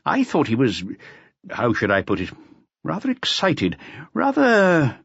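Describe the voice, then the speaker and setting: Man putting on a fussy, drawling magistrate, swooping pitch. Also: wildly swooping pitch, unhurried, gravelly, a bit bright, husky, dry recording, lo-fi sound, heavily acted. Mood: fussy, puzzled. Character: fussy, aloof male magistrate, stuffy and weary of trouble.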